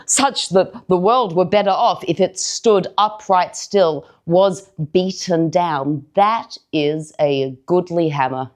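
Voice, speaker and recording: A woman playing a brooding, strong-willed young male villain in a deliberate, declamatory verse monologue on stage. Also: fairly low pitch. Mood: reasoning, grave. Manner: declamatory, deliberate, weighty pauses. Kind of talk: theatrical Shakespearean verse monologue.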